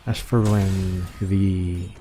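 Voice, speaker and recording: A man doing casual, low-key livestream commentary on a game, speaking hesitantly. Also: level tone, very slow, dark tone. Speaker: game streamer. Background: game music.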